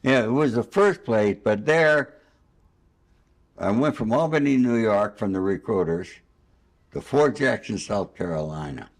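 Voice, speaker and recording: Older man, low voice, interview host, casual and spontaneous, rambling storyteller, a bit boastful. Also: expressive, unhurried.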